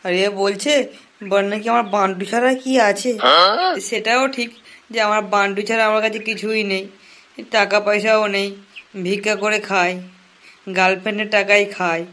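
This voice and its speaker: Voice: mid-range voice, little pitch movement, moderate pace, pauses often, sharp tone, natural voice. An actor plays a jobless young cartoon boyfriend with a pleading, whiny manner. He is crude and comic, and tends to say things over again. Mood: indignant.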